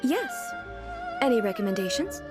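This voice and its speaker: A woman playing a calm, curious female ruler in a video game, speaking in a composed, formal and measured way. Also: fairly high pitch. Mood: reassuring. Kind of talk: scripted video game voice acting. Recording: music playing behind the voice.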